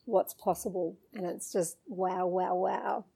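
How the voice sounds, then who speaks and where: A woman's voice: fairly low pitch, fairly even intonation, takes it slowly, gravelly, dark tone, husky. A woman hosting an interview podcast, encouraging and thoughtful as she muses aloud. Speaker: podcast host. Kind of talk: casual interview chat.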